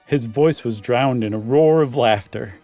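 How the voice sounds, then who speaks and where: A man's voice: medium pitch, measured pace, warm tone, faint music behind. A man, a podcast co-host, narrating a story dramatically with casual asides.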